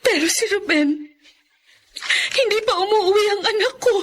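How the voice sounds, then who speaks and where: High, swooping, tearful Filipino mother. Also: animated, normal speed. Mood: anguished. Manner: tearful, pleading, sorrowful. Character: anguished, pleading, weak-willed middle-aged mother. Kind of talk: Filipino radio drama melodrama.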